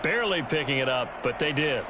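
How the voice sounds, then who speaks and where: A man's voice: medium pitch, animated, brisk, lightly acted. A man voicing an American play-by-play announcer in a football video game, using clipped broadcast phrasing over stadium noise. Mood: focused, brisk. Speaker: play-by-play commentator. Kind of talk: sports video game commentary.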